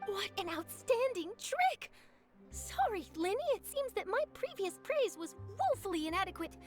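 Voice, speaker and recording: A woman playing an eager, excitable young female reporter in an anime-style game, inquisitive and gushing with exclamations. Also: extremely high pitch, normal speed, faint music behind. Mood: amazed, gushing.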